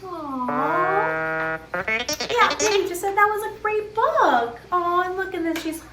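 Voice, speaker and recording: Very high, animated woman reading to children. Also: music in the background. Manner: expressive, sing-song, childlike reactions and drawn-out words. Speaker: children's story-time host and reader. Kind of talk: picture book read-aloud with chatty asides to children and a puppet. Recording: echoey room with clear background noise.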